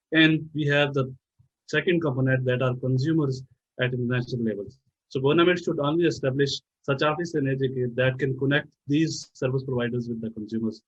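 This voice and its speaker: A man from Kashmir, a doctoral student, giving a plain, steady prepared statement. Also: mid-range voice, no echo, dull audio.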